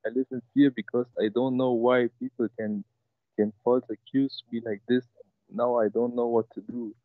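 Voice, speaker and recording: A man's voice: mid-range voice, level tone, normal speed, no room sound, phone-quality sound. A young man from Germany in his twenties calling in to tell a personal story, hesitant and emotional, with many fillers and restarts. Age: 20s. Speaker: caller. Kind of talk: personal story on a call-in show.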